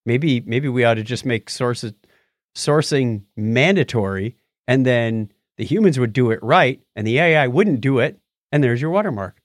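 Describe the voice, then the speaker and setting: A man co-hosting a podcast, talking casually through the news in an animated, playful, bantering way. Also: medium pitch, moderate pace, dry recording, studio quality. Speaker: podcast co-host. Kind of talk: casual conversational news discussion.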